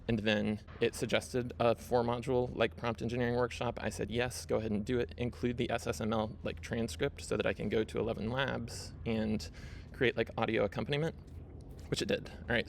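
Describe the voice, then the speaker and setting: Guy talking thru his screen share, gravelly, kinda flat, says "right?" a lot. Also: medium pitch, brisk, slight background noise. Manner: chatty, frequent fillers and "right?" tags. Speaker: video host. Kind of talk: casual screen-share walkthrough.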